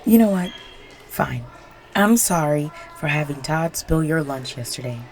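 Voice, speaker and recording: A young woman voicing a bratty, bossy popular high school girl in an intimate audio roleplay, whiny and exasperated, with desperation underneath. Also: expressive, unhurried, a little mellow. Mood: exasperated, impatient. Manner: whiny, sighing, pushy.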